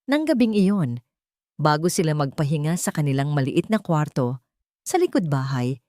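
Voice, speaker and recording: A woman's voice: low-pitched, very animated, moderate pace, warm tone, dry recording, clean studio sound. A woman storyteller reading a scripted, dramatic narrative.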